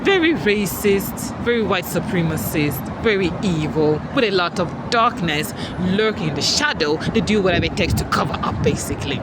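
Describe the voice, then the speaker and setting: Namibia-born vlogger ranting solo, really animated and emphatic, loud car noise all over it. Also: mid-range voice, normal speed, hardly any pauses. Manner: aggrieved, emphatic, punctuates with "yeah?" and "man". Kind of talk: personal monologue to camera or mic. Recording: loud outdoor traffic and vehicle noise.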